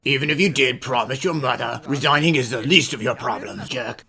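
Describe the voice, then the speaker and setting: A man's voice: medium pitch, fairly quick, sharp tone. A man voicing a blunt, exasperated police inspector in an anime fan dub, scolding and incredulous, with music underneath. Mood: indignant.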